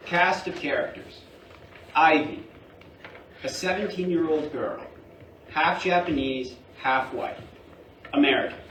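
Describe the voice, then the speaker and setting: Higher-voiced man narrating, echoey and distant. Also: unhurried, pauses often, a bit bright. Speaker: stage directions reader. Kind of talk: scripted narration at a staged play reading. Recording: roomy, distant mic, faint noise.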